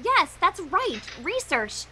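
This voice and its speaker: Woman playing a bright, prim young cartoon pony. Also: very high-pitched, very animated, slow pace, a little hiss or hum, exaggerated voice. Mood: flustered, defensive. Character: studious, easily flustered young female cartoon pony. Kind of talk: amateur fan fiction voice acting.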